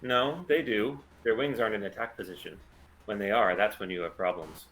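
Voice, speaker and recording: A man's voice: fairly low pitch, brisk, fairly bright tone. A man playing a confident, evasive mercenary pilot with a cool, clipped delivery in a sci-fi table read. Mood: cool, assured. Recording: roomy echo with noticeable background noise.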